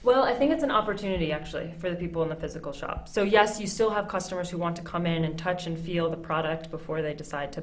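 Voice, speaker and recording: Upbeat woman, lowish voice. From United States. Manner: upbeat, fluent, with fillers. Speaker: country manager of an online shop. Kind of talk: TV business interview.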